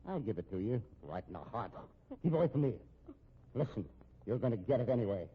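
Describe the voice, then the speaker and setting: A man acting as a treacherous, gloating crook in a 1950s radio drama, with a husky, sneering voice. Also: mid-range voice, sing-song, a little fast. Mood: gloating, threatening. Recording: muffled old radio recording.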